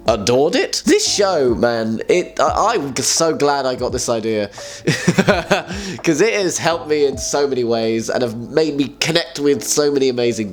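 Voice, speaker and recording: A young man who hosts a fan community show. He talks in a casual, self-mocking way, laughs often, and sounds a little nasal from a cold. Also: on the high side. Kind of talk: casual solo video chat.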